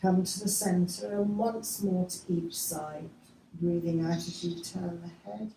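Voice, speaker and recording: A woman teaching a guided yoga relaxation class, speaking gently and letting her phrases trail off softly. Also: on the low side, steady tone. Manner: gentle, unhurried instructing with soft trailing phrases. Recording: distant mic, echoey room, faint noise.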